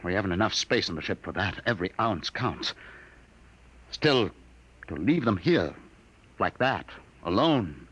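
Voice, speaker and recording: An actor playing a compassionate, commanding spaceship captain in a 1950s radio drama, grave and earnest. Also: male voice, sits low, very animated, measured pace, long pauses, a bit bright, breathy edge, heavily acted, poor audio. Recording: vintage radio recording, faint noise.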